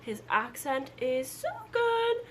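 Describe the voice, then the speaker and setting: A woman's voice: high voice, big pitch swings, slow. Chatty woman vlogger, some background noise. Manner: informal, rambling, self-interrupting asides. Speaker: book vlogger announcing a readalong. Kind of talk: casual chatty book talk to camera. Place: at home indoors. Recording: background room noise, household appliance.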